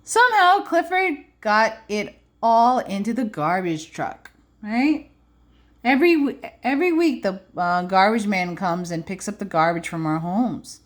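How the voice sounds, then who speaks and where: A woman's voice: mid-range voice, animated, a little slow. A woman preschool teacher reading aloud to young children, adding simple explanations and checking in with questions. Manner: simple child-directed phrasing.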